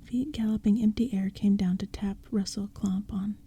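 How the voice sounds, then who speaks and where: A woman narrating a storybook in a soft, hushed whisper. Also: mid-range voice, fairly even intonation, normal speed, breathy edge.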